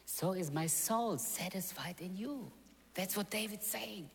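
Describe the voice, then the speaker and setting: Animated preacher in a big hall. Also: male voice, high-pitched, a little slow, creaky, husky. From born in Russia, grew up in Germany. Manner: animated storytelling, sudden loud rises and soft drops, searches for words. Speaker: seminary chapel speaker. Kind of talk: sermon. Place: large chapel hall. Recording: long hall reverb.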